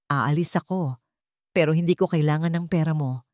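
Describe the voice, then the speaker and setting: A wronged young wife from a radio drama, acted by a woman storyteller. She is hurt but hardened, with cold determination in a lowish voice. The sound is dry and close. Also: normal speed, a little mellow, no echo, lightly acted. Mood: cold, bitter.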